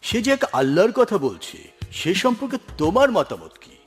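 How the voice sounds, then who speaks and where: A man's voice: high-pitched, wildly swooping pitch, brisk, soft background music. A man voicing a proud, commanding emperor in a cartoon, declamatory and imperious. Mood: imperious, indignant. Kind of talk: dramatic cartoon voice acting.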